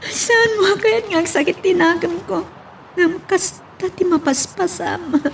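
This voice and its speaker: A woman's voice: very high-pitched, a little slow, vocal fry, distant mic, a little hiss or hum, heavily acted. A woman playing a grieving, pleading woman in love in a melodramatic, tearful Ilocano radio drama style. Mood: hurt.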